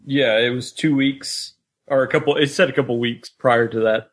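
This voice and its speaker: A man's voice: medium pitch, fairly even intonation, normal speed. A man co-hosting a fan podcast in casual, conversational chat, often slipping into quoted lines. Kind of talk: casual chat about an audio drama.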